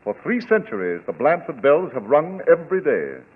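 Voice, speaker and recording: A thin, muffled vintage radio recording carries a stately lord. He speaks formally and proudly, with wide oratorical swings of pitch and a husky grain. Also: big pitch swings, moderate pace. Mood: proud, solemn. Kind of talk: old-time radio drama acting.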